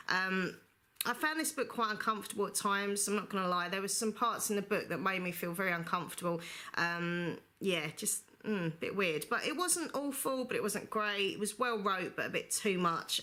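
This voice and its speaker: Chatty woman with a bright tone. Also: mid-range voice. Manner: chatty, rambling, many fillers like "you know". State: recovering from flu, slight cough. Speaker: book vlogger. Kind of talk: casual book review monologue.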